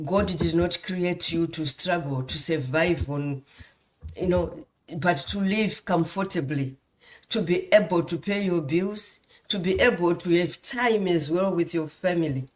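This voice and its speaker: A woman giving a motivational talk on a live stream, slow and emphatic, repeating phrases like a preacher. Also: fairly low pitch, low-quality recording. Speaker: guest speaker.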